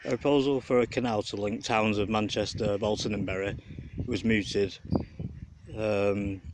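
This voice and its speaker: Low-voiced English man, noisy outdoor recording. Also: fairly even intonation, moderate pace. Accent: English, with a slight Mancunian lilt. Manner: conversational, digressive, musing. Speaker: vlogger and documentary narrator. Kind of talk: casual rambling walking commentary on local history. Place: outdoors along a rural canal path. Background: wind, birdsong, outdoor noise.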